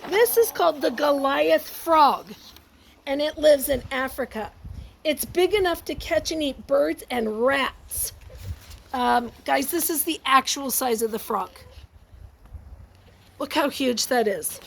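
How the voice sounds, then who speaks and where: A woman reading a picture book aloud to young children, expressive and exclamatory, often breaking off to ask her listeners questions and add asides. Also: sits high, takes it slowly, vocal fry, poor audio.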